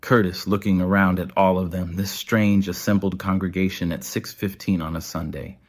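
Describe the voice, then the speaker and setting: A man's voice: low voice, flat delivery, moderate pace. A man narrating a scripted audio story.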